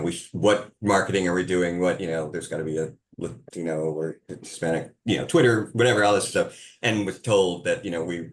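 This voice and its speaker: A man on a webinar panel, telling a story from his property work in an animated, laughing way. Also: low voice.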